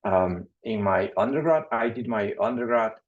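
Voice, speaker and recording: A man from Ecuador, an assistant professor, introducing himself on a webinar panel, halting and often repeating his words over a video call. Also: fairly low pitch, expressive. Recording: dull lo-fi video call audio.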